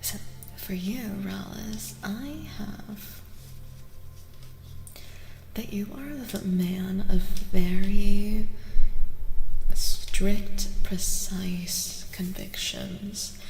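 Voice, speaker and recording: Soft, whispery woman in reverberant ASMR. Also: medium pitch. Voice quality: soft, breathy, often dropping to a whisper. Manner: gentle, deliberate, repeats phrases. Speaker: streamer and tarot reader. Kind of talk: soft-spoken ASMR, live tarot reading. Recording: roomy reverberant sound, faint noise.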